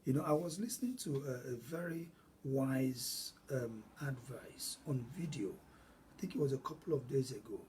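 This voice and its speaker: A man's voice: medium pitch, moderate pace, a little mellow, a little hiss or hum. Nigerian guy giving earnest money advice, repeats himself for stress, igbo is his first language. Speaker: host. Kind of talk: solo money advice talk.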